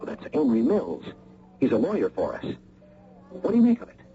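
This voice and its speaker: A man's voice: mid-range voice, wildly swooping pitch, fairly quick, dark tone. An actor playing an easygoing, conversational businessman who brushes off alarm in a radio drama. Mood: earnest, defensive. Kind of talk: 1980 radio drama acting. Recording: muffled old radio recording, faint noise.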